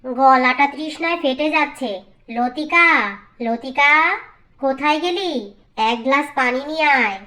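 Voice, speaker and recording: High-voiced, scolding older mother-in-law played by a woman. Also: a little slow, long pauses, pushed and bright. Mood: demanding. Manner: dramatic wailing, nagging and ordering. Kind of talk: cartoon fairy tale voice acting.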